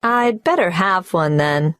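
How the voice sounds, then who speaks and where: A woman's voice: fairly low pitch, lively intonation, slow, fairly bright tone, no room sound, studio quality. An actress playing a polite young woman who gives careful answers while applying for a tax number in a scripted listening-test dialogue. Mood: cooperative.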